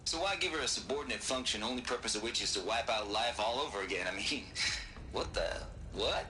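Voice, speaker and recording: A man's voice: on the low side, lively intonation, fairly quick, bright, forceful tone. An actor playing a wry male scientist giving a folksy, lecturing recorded message in a video game. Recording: game audio over music.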